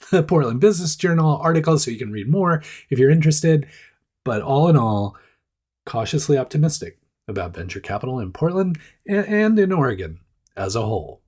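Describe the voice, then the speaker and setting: A man hosting a casual startup news roundup, his voice rough and nasal from a stuffed-up nose, chatty and animated. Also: medium pitch. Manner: chatty, animated asides, mock-voices others.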